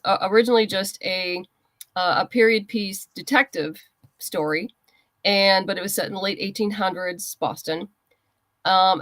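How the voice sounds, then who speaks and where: A woman's voice: mid-range voice, fairly bright tone, no room sound. A woman being interviewed as a writer, giving explanatory, conversational answers.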